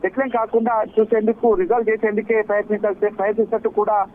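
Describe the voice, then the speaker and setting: Over a thin, phone-like link, a male correspondent reports live. His voice is notably high for a man. He speaks haltingly, repeating himself and hesitating as he improvises. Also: level tone, a little hiss or hum. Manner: halting, repetitive, hesitant delivery. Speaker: news correspondent. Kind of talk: unscripted live news report. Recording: muffled, band-limited live link.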